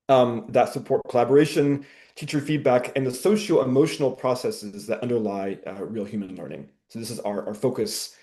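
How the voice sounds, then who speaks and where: A man, a professor, giving a measured webinar presentation over a video call, with frequent ums and uhs. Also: medium pitch, warm tone.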